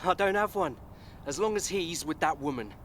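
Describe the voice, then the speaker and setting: A man's voice: fairly high pitch, lively intonation, moderate pace, a bit bright. A young man acting a hurt, angry son in a radio soap, with faint street noise behind. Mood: agitated.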